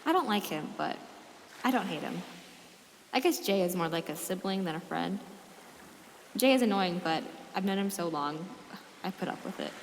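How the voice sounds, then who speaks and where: A woman's voice: fairly low pitch, lively intonation, normal speed, pauses often, a little hiss or hum. A woman playing an exasperated teenage girl's inner monologue in an audio drama, complaining and confiding. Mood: resigned, reflective. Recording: long reverb, loud music behind.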